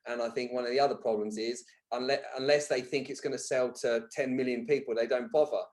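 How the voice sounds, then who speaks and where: A man's voice: mid-range voice, steady tone, fast, a little mellow. A man speaking as a podcast guest, telling candid personal stories in a colloquial, emphatic way. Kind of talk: candid conversational storytelling.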